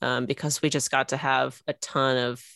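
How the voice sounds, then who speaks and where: A woman in her thirties with a low voice, recorded dry and close. She is an interview guest who chats casually, in an informal, giggly way. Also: flat delivery, normal speed, gravelly, a bit bright, dry recording. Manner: informal, giggly, punctuates talk with small laughs. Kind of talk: casual chat.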